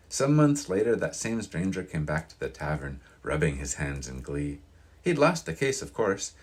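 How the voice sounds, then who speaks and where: Audiobook narrator: male, very deep bass register with a rough husky edge, reading fiction aloud.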